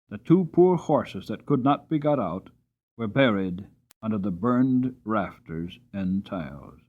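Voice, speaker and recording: A man's voice: on the low side, narrow, tinny audio. Man giving a dramatic storybook reading. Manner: expressive, dramatic delivery. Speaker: audiobook narrator.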